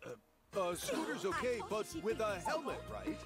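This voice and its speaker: A big, gentle cartoon baker dad, hesitant and fussing over safety. His voice leaps wildly up and down, with faint music behind him. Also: sits high, wildly swooping pitch, unhurried, exaggerated voice. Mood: worried. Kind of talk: cartoon voice acting.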